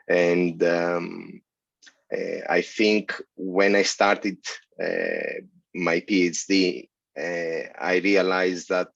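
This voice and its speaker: A man from Greece speaks through a headset on a video call, his voice very low and unusually flat in tone. He answers steadily but halts often with fillers. The audio is dull and dry, with no room sound around it. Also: vocal fry, no room sound. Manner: measured, halting with many fillers. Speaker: guest, senior lecturer. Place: office, indoors.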